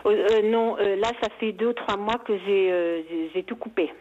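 A woman's voice: medium pitch, moderate pace, narrow, tinny audio, slight background noise. A woman from Algeria calling in to tell a personal story, hesitant and full of restarts. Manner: hesitant, many restarts and fillers. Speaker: caller.

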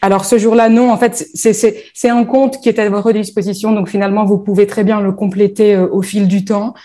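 A woman's voice: mid-range voice, little pitch movement, brisk, mellow. A woman from northern France, founder of a bereavement platform, answering interview questions with frequent hesitations and fillers.

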